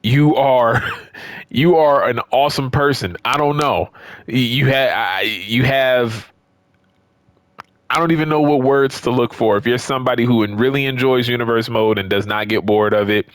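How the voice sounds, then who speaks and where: A man's voice: mid-range voice, moderate pace, no room sound. Casual man rambling in a dry-sounding recording. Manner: rambling, conversational, opinionated. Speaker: gaming video creator. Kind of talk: casual unscripted gaming commentary.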